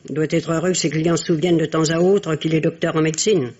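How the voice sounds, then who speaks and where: A woman playing a stern but fond aristocratic old grandmother in a radio drama, speaking in a formal, admonishing, imperious way with a husky voice. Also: low voice, steady tone, fast talker, mellow. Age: older. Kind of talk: French radio drama acting. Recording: dull, muffled old radio recording.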